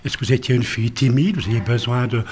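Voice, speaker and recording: A TV interviewer, asking plain and measured questions. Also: medium pitch, a little mellow, slight background noise.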